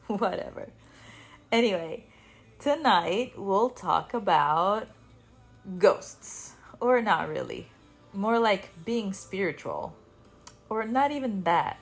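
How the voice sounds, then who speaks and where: A woman's voice: mid-range voice, creaky. A woman with an Italian accent, a native Italian speaker, hosting a podcast with casual personal storytelling in a chatty, self-deprecating manner. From Italy. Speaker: podcast host.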